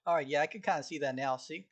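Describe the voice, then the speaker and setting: A man casually talking to himself while studying on a live stream, often clearing his throat, which feels irritated. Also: fairly high pitch. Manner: frequent throat clearing, laid-back, reciting notes from memory.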